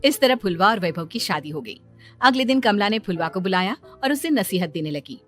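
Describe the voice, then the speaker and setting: A woman narrates a cartoon moral tale as storyteller. Her voice sits at a middle pitch, with light music running underneath the scripted reading. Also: lively intonation, fairly quick.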